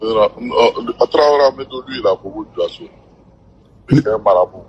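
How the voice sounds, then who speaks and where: A man with a West African French accent talking informally on a phone call, halting and often repeating himself. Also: fairly low pitch, expressive, a little mellow, breathy edge. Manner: halting, repetitive phrasing. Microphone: telephone.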